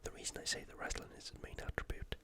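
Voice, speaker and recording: ASMR host in a breathy whisper. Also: very fast. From United Kingdom. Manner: informal, opinionated commentary. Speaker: host of an ASMR fight-preview show. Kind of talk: ASMR, whispered sports preview.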